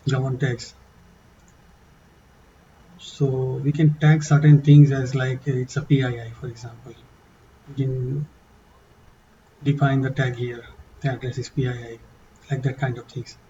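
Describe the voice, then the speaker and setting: A man with an accent walking through a software demo in a halting, casual way, with many fillers and restarts. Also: mid-range voice, level tone, muffled recording, a little hiss or hum. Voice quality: non-native English accent. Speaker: tutorial presenter. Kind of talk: casual screen-share walkthrough.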